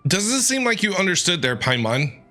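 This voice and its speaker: A man's voice: high voice, sing-song, moderate pace, bright, forceful tone. A man streaming a game, giving casual, playful commentary and talking back to the characters on screen. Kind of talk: casual reactive gameplay commentary. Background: game music.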